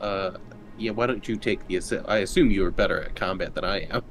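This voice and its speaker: A man's voice: deep voice, quick pace. A man chatting casually as a player in a tabletop roleplaying game. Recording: faint background noise.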